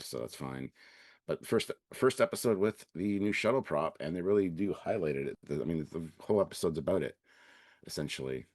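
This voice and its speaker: Very deep raspy man with a cold. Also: a little mellow, no room sound, clean studio sound. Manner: laid-back, hedging, halting. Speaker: co-host. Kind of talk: live-stream review chat.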